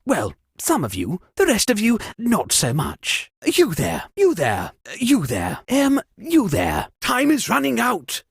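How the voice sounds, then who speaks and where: A lordly host of a murder-mystery video game, theatrical and sardonic. The pitch swoops dramatically from line to line, and the delivery is drawn out, with a slightly husky edge. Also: female voice, wildly swooping pitch, slow pace, hardly any pauses, dry recording, clean studio sound, exaggerated voice. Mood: dry, sardonic.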